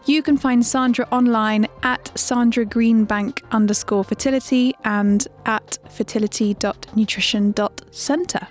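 A woman's voice: on the high side, steady tone, measured pace, hardly any pauses, soft background music. A young adult woman hosting a podcast, reading an intro and then chatting with a guest in a friendly, upbeat way. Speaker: podcast host. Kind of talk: scripted intro then casual interview.

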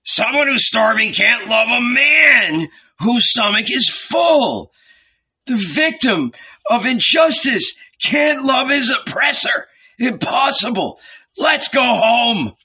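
A bitter old man, hard of hearing, shouts his scorn high and strained from the crowd, as a male narrator puts him on. His cries ring bright and sharp. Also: lively intonation, slow, husky, full character voice, poor audio. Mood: angry.

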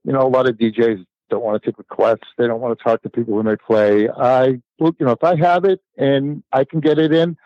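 A man's voice: medium pitch, fairly even intonation, fairly quick, few pauses, no room sound. Man telling stories, dry muffled sound. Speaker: DJ and former record promoter, interview guest. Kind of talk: casual anecdotal interview conversation.

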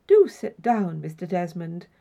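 A woman voicing a courteous, formal older gentleman in an audiobook story, a solicitous and welcoming host. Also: on the low side, very animated, measured pace, vocal fry, full character voice.

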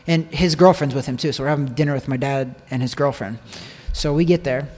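A man's voice: medium pitch, a little fast, darkish tone. An American man telling a personal story in casual conversation, repeating phrases for emphasis. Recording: long echoing reverb.

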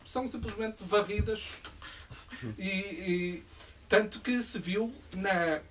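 Distant, higher-voiced man speaking haltingly. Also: fairly bright tone. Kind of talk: spontaneous political commentary at a public discussion. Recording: distant room microphone, faint background noise.

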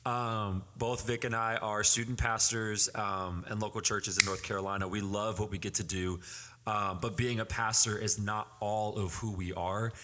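20-something pastor guy, kinda low voice, lots of ums, earnest podcast talk. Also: steady tone, bright, forceful tone, slight background noise. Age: 20s. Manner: earnest, halting, many fillers. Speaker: student pastor, podcast co-host. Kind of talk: casual podcast chat.

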